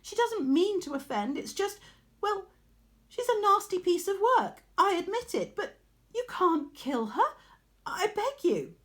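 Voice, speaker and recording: Woman acting a high-pitched, frantic pleading mother. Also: sing-song, unhurried, a bit bright, full character voice. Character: frantic pleading mortal mother, grovelling and flustered. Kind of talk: dramatised storybook reading.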